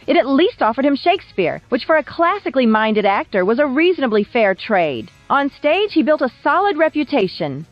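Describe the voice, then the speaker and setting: A woman narrating a scripted biographical documentary in a measured, polished storytelling manner with wry touches. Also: high voice, no echo, muffled recording. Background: background music.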